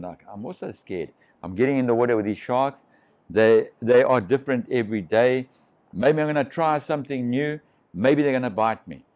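Middle-aged man, seasoned shark diver, casual rambling storyteller, medium pitch, picked up a little dull from across the room. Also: darkish tone. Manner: rambling, restarts, swears freely. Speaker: shark diving pioneer, guest. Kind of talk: casual storytelling over drinks. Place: living room, sitting on a sofa.

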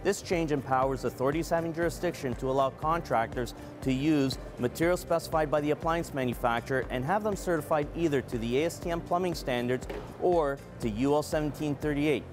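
A man in his forties, a product manager, giving a measured, earnest scripted product presentation at a trade show booth on a lapel mic. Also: mid-range voice. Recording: clean voice over background music.

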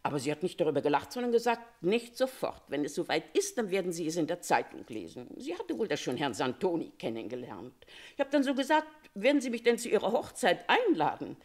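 A Paris concierge in a radio crime drama, a woman who loves to gossip. Her voice sits a little low but swings widely up and down as she confides her firm opinions. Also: very animated, fairly quick. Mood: gossipy, knowing. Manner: chatty, confiding, opinionated storytelling. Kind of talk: German radio crime play acting.

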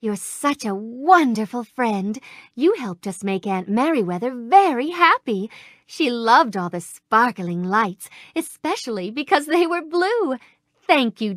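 A woman voicing a gentle young princess in a game, with a soft, sweet, refined voice and a polite, gracious way of talking, recorded close in a studio. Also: fairly high pitch, sing-song, slow pace, dry recording, heavily acted. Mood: grateful. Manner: polite, gracious, warmly grateful. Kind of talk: game voice clips. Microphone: close studio mic.

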